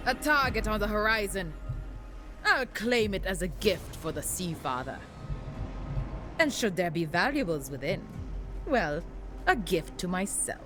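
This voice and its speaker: A woman's voice: mid-range voice, very animated, unhurried, pauses often. An actress voicing a boastful, greedy female pirate queen in a game voice line, with a bold, swaggering delivery. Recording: game voice over loud music.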